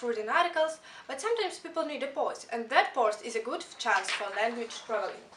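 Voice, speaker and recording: A woman's voice: high-pitched, fairly bright tone, a little hiss or hum. A teenage girl giving a rehearsed student presentation in an earnest way. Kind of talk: recited speech presentation. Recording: roomy, from a distance.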